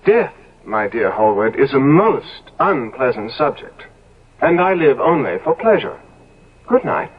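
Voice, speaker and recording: An actor playing a vain, callous young English gentleman in 1970s radio drama, with a disdainful, theatrical manner. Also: medium pitch, big pitch swings, unhurried. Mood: haughty, dismissive. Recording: muffled, noisy old radio broadcast recording.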